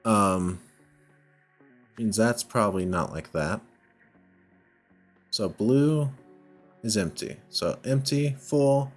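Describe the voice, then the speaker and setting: A man's voice: fairly low pitch, takes it slowly, pauses often, faint music behind. A man giving casual gameplay commentary, thinking aloud in a hesitant, self-questioning way as he works through a puzzle game. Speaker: video game playthrough host.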